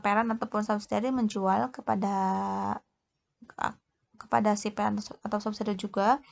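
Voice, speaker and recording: A woman giving an explanatory lecture as a tutor, speaking haltingly and often correcting herself. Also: mid-range voice, creaky. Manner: halting, with repeated self-corrections. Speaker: accounting tutor.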